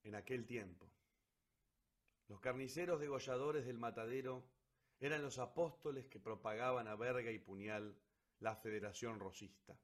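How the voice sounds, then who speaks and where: A man narrating a literary story for radio theatre in a solemn, deliberate, dramatic way. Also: mid-range voice.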